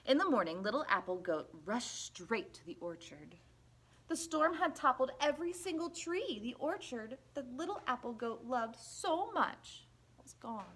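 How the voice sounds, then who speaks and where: A woman reading a children's picture book aloud in an expressive, deliberate, playful storytelling manner. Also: a little high, breathy edge. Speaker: storybook reader at a library.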